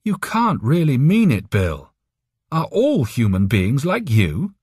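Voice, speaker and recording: A man's voice: medium pitch, huge pitch swings, takes it slowly, a little mellow, slightly rough, dry recording, clean studio sound, pitched above the performer's own voice, heavily acted. A man voicing a desperate alien mind in an audiobook story, urgent and despairing as it pleads. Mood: incredulous.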